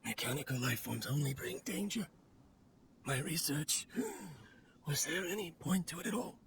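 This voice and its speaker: A man's voice: fairly high pitch, animated, normal speed, vocal fry, fairly bright tone, a little hiss or hum. A man playing a weary, lonely researcher in a video game monologue, wistful and solemn in manner. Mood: despairing, regretful. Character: weary, lonely male researcher obsessed with his machine creation.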